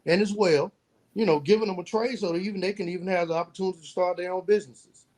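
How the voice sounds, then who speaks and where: An American man with a high-pitched voice speaks as a guest on a podcast. He answers conversationally and earnestly, stacking his points one after another. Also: fairly even intonation, fast, a little mellow, rough recording. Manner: earnest, building points in lists. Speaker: podcast guest, youth mentor and nonprofit founder.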